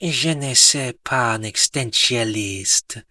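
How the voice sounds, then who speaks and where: A man's voice: mid-range voice, takes it slowly, sharp tone, no room sound, pitched below the performer's own voice. A man voicing a gloomy, world-weary French existentialist woman with a smoky murmur and French accent. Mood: listless.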